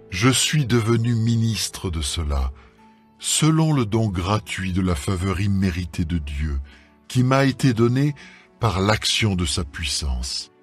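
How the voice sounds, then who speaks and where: A man reading scripture aloud as a solemn scripted dramatised Bible recording, with measured, deliberate phrasing. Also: lowish voice, husky. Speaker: scripture reader. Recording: music under the voice. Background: background music.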